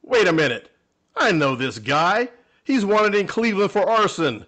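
A loud, accusing policeman in a vintage radio comedy, acted by a man. His high, sharp voice swoops around as he bears down on each word. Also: expressive, normal speed. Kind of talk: old-time radio comedy acting.

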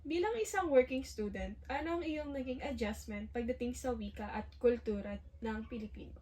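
A woman student conducts an interview, asking each prepared question politely and formally. Her voice sits a little high, and the mic is some distance from her. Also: brisk, mellow, slight background noise.

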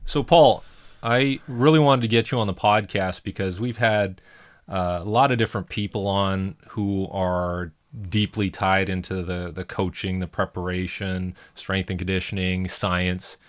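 A man's voice: deep voice, fairly even intonation, a little slow, no room sound. A middle-aged man hosting an interview podcast in a small studio, talking eagerly and rambling with many restarts. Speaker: podcast host. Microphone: desk mic on boom arm.

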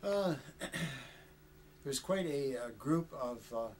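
An older man giving an oral history interview, talking in a rambling, hesitant way with many pauses and frequent chuckles. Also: mid-range voice, expressive, a little hiss or hum. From Canada. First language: Chinese. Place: indoor studio with flag backdrop.

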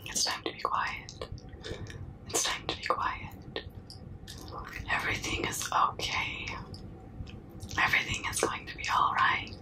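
A young woman doing a comforting ASMR roleplay in a breathy, unvoiced whisper, hushing and soothing up close to the mic. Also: recorded from a distance, low-quality recording. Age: young adult. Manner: slow, hushing, soothing, repetitive. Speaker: ASMR performer. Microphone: close mic, very near the camera.

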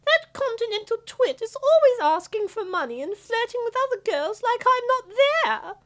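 Very high-pitched, huffy cartoon girlfriend. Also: very animated, moderate pace. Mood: indignant. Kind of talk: comic dub character acting.

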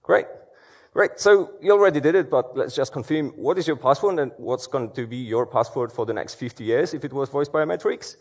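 A man's voice: medium pitch, brisk. A man, giving a casual conference talk in a large auditorium with plenty of fillers and restarts. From Poland. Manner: conversational, many fillers and restarts. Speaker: security conference speaker. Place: large dark auditorium stage.

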